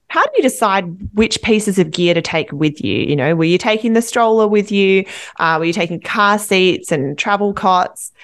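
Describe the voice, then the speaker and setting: A woman with an Australian accent co-hosting a podcast, asking long chatty questions. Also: medium pitch. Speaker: podcast co-host. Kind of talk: casual interview chat.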